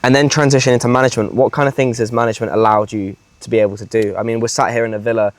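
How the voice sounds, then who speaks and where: A man hosting a casual interview, asking quick, upbeat questions in a villa. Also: mid-range voice, fairly even intonation. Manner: upbeat, quick encouraging prompts. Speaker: host and sales mentor. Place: villa, indoors.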